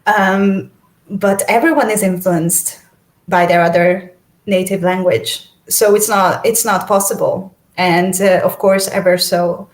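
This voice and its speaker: A woman's voice: medium pitch, steady tone, a little slow, roomy sound. A young woman, a native speaker of Esperanto and Hungarian, chatting as an interview guest, laughing often and restarting her sentences. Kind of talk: casual conversation.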